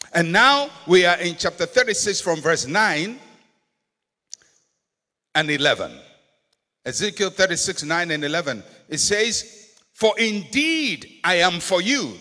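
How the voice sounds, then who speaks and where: Ghanaian pastor preaching in a hall. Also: male voice, high-pitched. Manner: emphatic preaching, slows to stress key words, rises to shouts. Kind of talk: sermon. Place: large church auditorium stage. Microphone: handheld microphone. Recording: long auditorium reverb.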